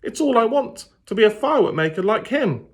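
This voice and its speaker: A scared but stubborn young girl pleads her case in a raised, breathless voice, as a man reads the part aloud. She sounds earnest and a little gasping, high above the reader's own low tone. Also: animated, a little fast. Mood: pleading. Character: frightened determined young girl. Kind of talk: storybook read-aloud.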